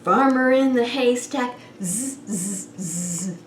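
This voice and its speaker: Slow, high-pitched woman hosting preschool story time. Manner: animated, expressive, coaxing with questions to small children. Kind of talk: children's story time, sing-song picture book reading and chat. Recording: roomy echo with some background noise.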